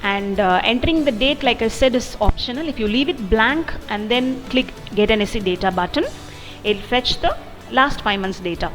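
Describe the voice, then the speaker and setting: A woman narrating an explanatory software demo in a methodical, step-by-step way. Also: fairly high pitch, expressive, normal speed. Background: background music.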